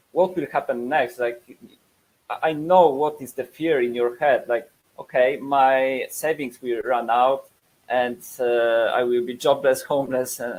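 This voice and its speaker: Polish man, 30s, webinar presenter, hesitant, mid-pitched voice. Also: a little mellow, low-quality recording. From Poland. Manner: hesitant, many fillers and repeated words.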